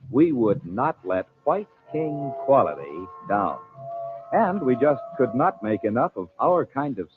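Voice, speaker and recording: An American man working as a 1940s radio announcer, reading scripted copy in a polished, emphatic, dramatic way. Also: mid-range voice. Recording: tinny old radio broadcast, music behind.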